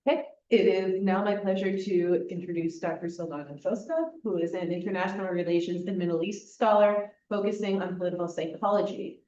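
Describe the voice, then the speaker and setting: A woman's voice: mid-range voice, a little mellow, highs cut off. A woman chairing an academic panel, reading a prepared introduction with dry humor. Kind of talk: introducing a speaker. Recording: distant echoey room with faint hum.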